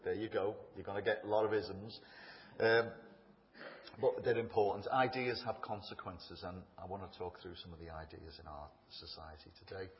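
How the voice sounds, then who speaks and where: A middle-aged man with a Liverpool accent speaks from a lectern in a church. His voice sits low and carries a long, ringing echo off the hall. His talk is chatty, self-deprecating and full of humour. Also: steady tone, normal speed. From England. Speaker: guest speaker. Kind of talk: informal talk to a church congregation. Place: church building. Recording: echoey hall, slightly dull sound.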